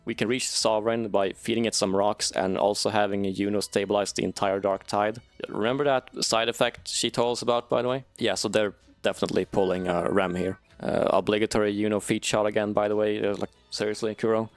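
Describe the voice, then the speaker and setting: Casual man with low, creaky voice. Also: steady tone, fairly quick, hardly any pauses, soft background music. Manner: informal, swears casually, wry asides. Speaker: game story recap video creator. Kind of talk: casual video game recap commentary.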